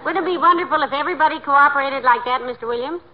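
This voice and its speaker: An actress playing a sweetly teasing, sensible middle-aged American wife in a 1940s radio comedy, with dry wit. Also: female voice, high voice, very fast, lightly acted. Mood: bright, sociable. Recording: dull vintage 1940s radio recording.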